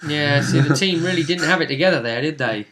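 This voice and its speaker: A man's voice: fairly high pitch, fast, a bit bright, a little hiss or hum. A man hosting a tabletop role-play vidcast, speaking in casual post-game chat as the game master.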